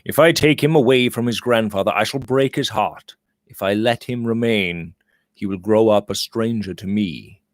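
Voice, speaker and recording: A man's voice: on the low side, no room sound, studio quality, close to an everyday voice. A man reading the part of a bitter, grieving young former cavalryman in a heavy, resigned manner from a storybook. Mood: troubled.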